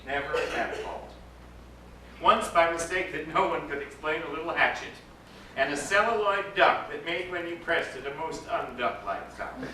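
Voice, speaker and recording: A man, an actor, giving an expressive, dramatic reading of a literary story aloud. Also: a little high, normal speed. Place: indoor live reading to a gathering. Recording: echoey room, distant mic, background noise.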